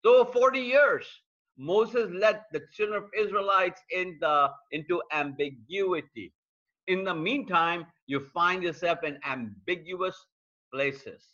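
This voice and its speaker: High bright-voiced preacher on a dull stream. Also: male voice, a little slow. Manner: repetitive, emphatic preaching cadence; addresses viewers directly. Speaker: pastor. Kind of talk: online sermon. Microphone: streaming call mic.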